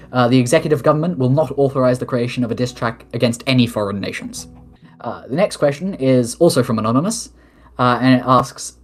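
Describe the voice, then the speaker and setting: Mid-pitched voice, mock-official statements, question-and-answer address, casual asides, music behind. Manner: mock-formal political statements with casual swearing. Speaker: head of government of an online role-play nation.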